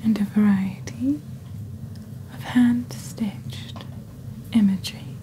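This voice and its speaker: A young woman presenting products in a soft, breathy, near-whispered ASMR delivery, deliberate and scripted, close to the microphone. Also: mid-range voice, fairly even intonation, poor audio. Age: young adult. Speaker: ASMR host playing a shopping channel presenter.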